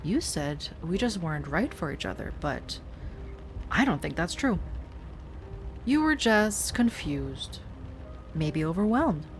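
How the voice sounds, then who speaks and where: A woman playing an obsessive, sweetly pleading ex-girlfriend in an intimate audio roleplay, speaking softly and haltingly. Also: on the low side, big pitch swings, exaggerated voice. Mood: gently insistent. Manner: soft, halting, wistful, with sighs and nervous little laughs. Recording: music under the voice.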